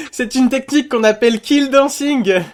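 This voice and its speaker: A man's voice: extremely high pitch, takes it slowly. A man playing a boastful, chatty dormitory chief with a casual, self-satisfied manner in an anime fan dub. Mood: smug, amused.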